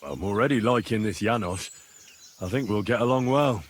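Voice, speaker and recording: A man playing an earnest, curious medieval adventurer in a video game, asking direct questions in a husky voice. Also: fairly low pitch, normal speed, lightly acted. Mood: easygoing. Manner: inquisitive, direct, plain-spoken. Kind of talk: dramatic video game dialogue.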